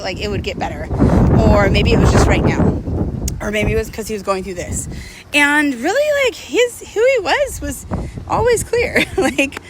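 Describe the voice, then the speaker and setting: A woman in her thirties talking casually and candidly, reflecting in a confessional way as she walks outdoors. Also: on the high side. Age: 30s. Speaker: vlogger. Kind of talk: casual confessional chat while walking. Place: outdoors, walking. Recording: clear outdoor urban traffic noise.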